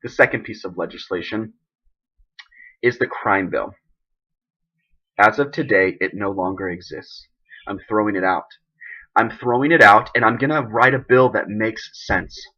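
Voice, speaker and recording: A man playing an embattled, idealistic president who gives an emphatic, deliberate, indignant speech in a dramatic monologue. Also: on the low side, pushed and bright, lo-fi sound. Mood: resolute.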